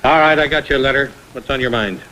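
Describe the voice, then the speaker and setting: Man playing a forceful, hard-nosed vintage TV father. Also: mid-range voice, fast talker, close to an everyday voice, rough recording. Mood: suspicious, impatient. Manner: curt, forceful, skeptical. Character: proud, blunt self-made father, wary and hard-nosed. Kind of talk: vintage American TV crime drama acting. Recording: vintage TV soundtrack, faint noise.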